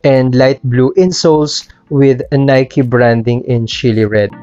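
A man's voice: mid-range voice, unhurried, dark tone, smooth. A man in his thirties presenting a scripted product review as a sneaker channel host. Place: small indoor room with sneaker shelves. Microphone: clip-on lapel mic. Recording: muffled lo-fi audio, music underneath. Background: background music.

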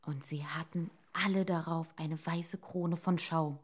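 A woman narrating a bedtime fairy tale dramatically and expressively, deliberately dropping into hushed tones. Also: deep voice, steady tone. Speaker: storyteller.

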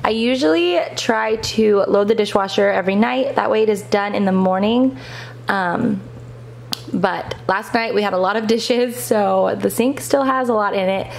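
A woman's voice: mid-range voice, normal speed, gravelly, a little hiss or hum. A woman in her thirties casually narrating her cleaning routine in a plain, practical voice-over. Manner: plain, practical step-by-step explaining. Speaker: cleaning vlogger.

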